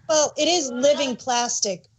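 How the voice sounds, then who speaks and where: A woman with a slightly high voice speaks at a slow pace in casual conversation. As a podcast co-host, she lays out her explanations in a measured manner. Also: husky. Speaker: podcast co-host reviewing audio drama. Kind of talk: casual chat.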